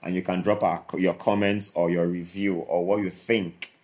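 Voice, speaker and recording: Low-voiced earnest man. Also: flat delivery, moderate pace, a little mellow, a little hiss or hum. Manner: earnest, repetitive, restarts phrases. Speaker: co-host. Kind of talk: motivational podcast talk.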